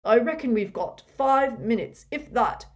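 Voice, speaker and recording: A woman's voice: fairly high pitch, lively intonation, a little slow, voice pushed up. Woman voicing young wizard friend, terse, urgent, muttered lines. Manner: muttered, clipped warnings.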